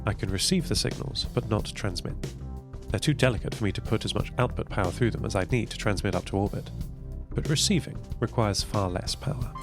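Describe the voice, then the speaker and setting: A man with a creaky voice playing a thoughtful, gentle artificial intelligence, narrating an audio drama in a measured, reflective way. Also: lowish voice, music in the background, natural voice. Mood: quietly pleased. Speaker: narrator of an audio fiction podcast. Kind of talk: first-person scripted audio drama narration.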